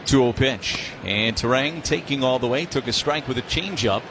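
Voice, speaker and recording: Male baseball announcer over clear background noise. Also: medium pitch, moderate pace. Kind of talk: live radio sports commentary. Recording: steady ballpark noise behind voice. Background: outdoor stadium ambience.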